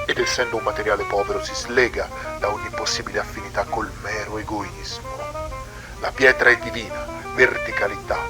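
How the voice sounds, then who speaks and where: A man's voice: on the low side, lightly acted, low-quality recording. A man playing a solemn, fanatically religious sculptor in a horror drama, speaking in a grandiose, brooding, dramatic way. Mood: grandiose, fervent. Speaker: talk show guest. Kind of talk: horror drama monologue in interview form.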